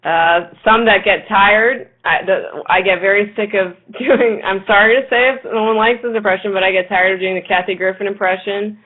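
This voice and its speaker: A woman's voice: mid-range voice, fairly even intonation, moderate pace, few pauses. Hesitant woman on a tinny line. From Los Angeles. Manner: hesitant, repeats words, modest. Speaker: guest comedian. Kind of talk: casual interview chat. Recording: tinny phone-like audio over a video call app.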